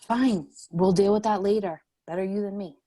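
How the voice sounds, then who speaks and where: A woman's voice: medium pitch, moderate pace, mellow, lightly acted. A woman playing a wary, assertive leader of refugees, direct and questioning, in a screenplay table read. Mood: wary, pressing.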